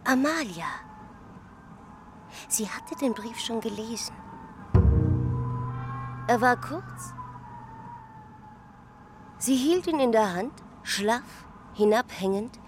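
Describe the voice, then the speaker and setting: An actress in a German radio play playing a sister who recalls events intensely, in deliberate, fragmented phrases. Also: female voice, on the high side, expressive. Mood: tense recollection. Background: music.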